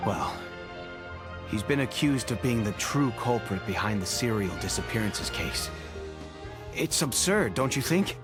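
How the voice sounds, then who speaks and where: A man's voice: on the low side, animated, a little slow, music in the background. A man playing an earnest, worried guard in a video game, speaking with urgency. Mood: perplexed. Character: earnest, worried male guard bringing news.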